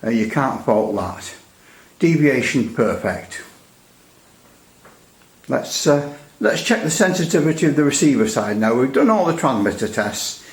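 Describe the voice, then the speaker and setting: A man giving a casual hands-on equipment demonstration as a hobbyist, thinking aloud in a halting way. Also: medium pitch, big pitch swings. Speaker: radio repair hobbyist presenter. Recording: echoey room.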